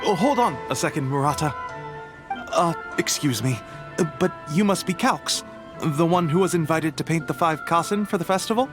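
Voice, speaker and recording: A man's voice: on the high side, lively intonation, normal speed, close to an everyday voice. A man voicing a polite, apologetic male editor in a video game. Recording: game audio with music behind.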